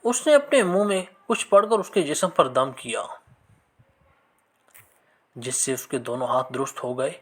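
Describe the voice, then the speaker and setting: A man narrating scripted religious moral stories in an expressive, measured storytelling manner. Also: mid-range voice.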